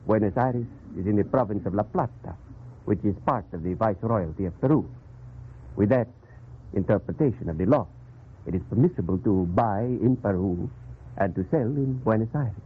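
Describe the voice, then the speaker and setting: An actor playing a kindly, steadfast priest in an earnest 1940s radio drama manner, measured and declamatory. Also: male voice, on the low side, expressive, husky. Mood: patient, explanatory. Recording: tinny, noisy 1940s radio recording.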